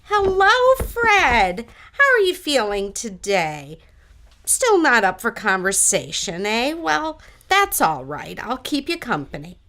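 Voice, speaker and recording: A woman performs a genteel older lady from an audio drama, kindly and chatty. She takes her time over words, and her voice sits a little high, sweeping widely up and down. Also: very animated, takes it slowly, pushed and bright, heavily acted. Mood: friendly, gracious. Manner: genteel, chatty, addresses others as dear.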